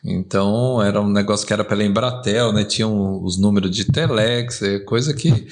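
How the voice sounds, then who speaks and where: An older man from São Paulo with a Brazilian accent, relaxed and chatty as he tells stories, full of fillers and asides. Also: lowish voice, moderate pace. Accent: Brazilian Portuguese, São Paulo. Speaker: guest entrepreneur. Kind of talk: casual storytelling interview.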